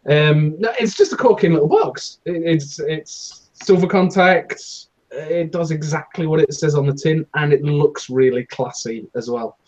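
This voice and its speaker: A man's voice: fairly high pitch, unhurried, darkish tone, highs cut off. A British man co-hosting a casual panel chat show. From United Kingdom. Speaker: co-host of a vaping talk show.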